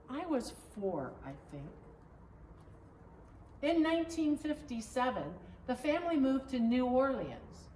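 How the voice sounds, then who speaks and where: Female storybook narrator, higher-pitched and very expressive, deliberate phrasing, recorded in an echoey room. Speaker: pastor reading a storybook. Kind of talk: slow read-aloud storybook narration. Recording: echoey room, distant mic, faint noise.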